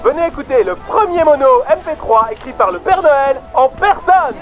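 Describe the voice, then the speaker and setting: Very high-voiced Santa hawker. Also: male voice, animated, normal speed. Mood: grandiose pitching. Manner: grandiose, salesy delivery. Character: man dressed as Santa, eager hawker pitching his story. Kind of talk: audio drama, theatrical sales pitch.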